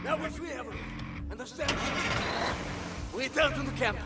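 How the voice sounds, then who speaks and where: An actor playing a bossy, urgent escape leader in a video game, giving orders. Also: male voice, extremely high pitch, moderate pace. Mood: urgent, commanding. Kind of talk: dramatic video game acting. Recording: distant voice under loud music.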